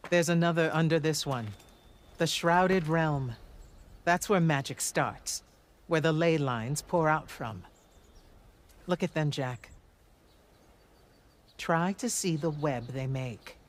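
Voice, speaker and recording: An actor playing a commanding male battlemage mentor in a video game, speaking in a measured, grave, explanatory way. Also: fairly high pitch. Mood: patient, instructive. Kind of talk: cinematic game dialogue.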